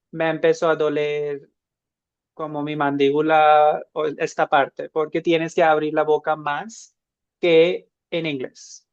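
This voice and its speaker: A man's voice: a little high, clear, resonant tone, dry recording, dull audio. A man from India, speaking Spanish as an as a podcast co-host, thoughtful and full of fillers and restarts. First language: an Indian language. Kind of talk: casual podcast chat.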